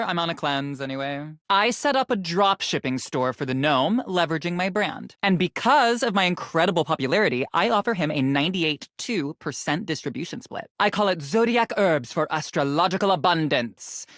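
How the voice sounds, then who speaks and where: A woman's voice: fairly low pitch, animated, a little fast, hardly any pauses, fairly bright tone, no echo, studio quality. A woman voicing a vain, trendy young influencer in a video game, self-absorbed and flippant, with breezy valley-girl slang. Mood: breezy, bragging. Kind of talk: video game character acting.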